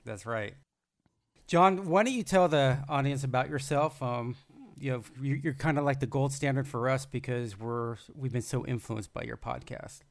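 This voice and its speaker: A man's voice: mid-range voice, moderate pace, creaky, no room sound, clean studio sound. A man hosting a podcast, welcoming a guest in an upbeat, friendly way. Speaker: podcast host. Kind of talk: casual podcast interview.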